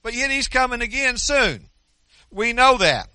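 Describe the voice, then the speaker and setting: Older American male pastor, high and sharp-toned, earnest plain-spoken sermon delivery, dry close sound. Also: animated, measured pace, clean tone, dry recording. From United States. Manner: earnest, conversational preaching with many restarts and asides.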